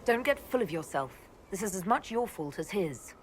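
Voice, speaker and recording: An actress playing a pragmatic female elf leader in a video game, measured, firm and matter-of-fact. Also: mid-range voice, big pitch swings, slight background noise, close to an everyday voice. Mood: reproachful. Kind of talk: video game cutscene acting.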